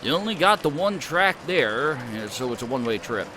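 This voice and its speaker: A man's voice: mid-range voice, big pitch swings, brisk, background noise, voice pushed down, natural voice. Howie, a man doing a folksy cheerful hobo. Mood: easygoing. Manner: drawling, easygoing country talk.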